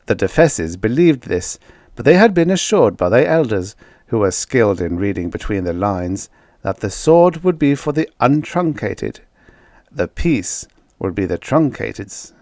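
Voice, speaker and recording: A man reading vintage science fiction aloud as an audiobook narrator, in a measured, dramatic storytelling manner with emphatic stresses. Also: lowish voice, expressive, darkish tone, no echo, studio quality.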